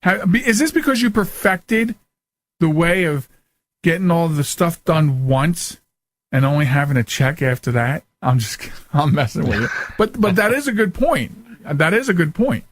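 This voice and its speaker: A man's voice: on the high side, animated, normal speed. A man in his forties hosting a casual, jokey interview as he chats with his guest. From United States, Philadelphia area. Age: 40s. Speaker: show host.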